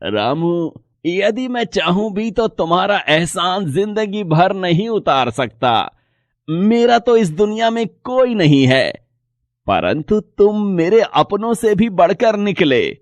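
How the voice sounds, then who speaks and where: Man acting slow, high-voiced rich landowner. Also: sing-song, no echo, exaggerated voice. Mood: grateful, remorseful. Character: rich lonely male landowner, miserly turned grateful. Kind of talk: acted moral story dialogue.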